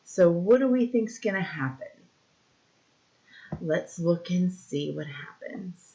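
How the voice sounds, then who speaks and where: Low-voiced woman reading aloud to children. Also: animated, takes it slowly, mellow. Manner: simple, explanatory, question-and-answer delivery. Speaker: teacher reading a picture book aloud. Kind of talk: read-aloud for young children, pausing to ask questions. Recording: dull, lo-fi recording.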